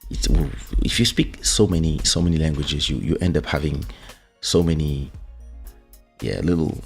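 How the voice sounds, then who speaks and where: A man with a French accent hosting a casual livestream, talking loosely with fillers and restarts while greeting his viewers. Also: sits low. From France. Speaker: livestream host and musician. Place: home streaming setup in Portugal. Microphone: studio desk microphone.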